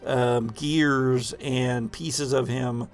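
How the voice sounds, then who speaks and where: A man runs a tabletop horror game in a slow, gravelly voice. He improvises the scene as game master, pausing mid-sentence to build the description. Faint music plays underneath. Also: medium pitch, steady tone. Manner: halting, pauses mid-sentence for dramatic description.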